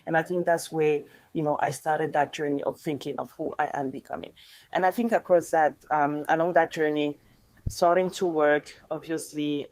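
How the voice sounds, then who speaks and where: A woman from Togo, a panel guest who speaks thoughtfully and hesitantly, with many pauses and fillers. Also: low-pitched, a little mellow, no room sound. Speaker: founding team member, panel guest. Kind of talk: reflective panel conversation.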